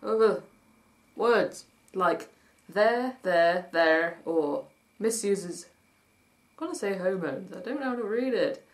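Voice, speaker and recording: A woman vlogging with a husky voice that has not warmed up yet, chatting in a rambling way, reading points from a list and spelling words out letter by letter. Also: medium pitch, lively intonation, slow. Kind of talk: casual vlog, reading points from a list and commenting. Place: at home by day, with traffic outside. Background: faint traffic.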